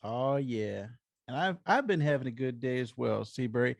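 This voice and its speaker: A man's voice: mid-range voice, expressive, moderate pace, gravelly, dry recording, clean studio sound. A man with an American accent chatting in an easygoing, casual way as a podcast co-host. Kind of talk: casual chat.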